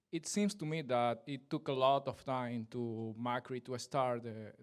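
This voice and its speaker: A man in the audience asking a panel a question, halting and searching for words. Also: mid-range voice, clear, resonant tone. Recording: voice from far off in the room.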